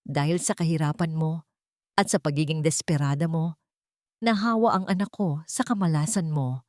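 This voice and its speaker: A woman's voice: deep voice, normal speed, darkish tone, no room sound, studio quality, lightly acted. Woman acting a haughty, venomous rich older matriarch. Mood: cold threatening. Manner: scolding, threatening, haughty. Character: cruel, domineering rich older mother-in-law, venomous.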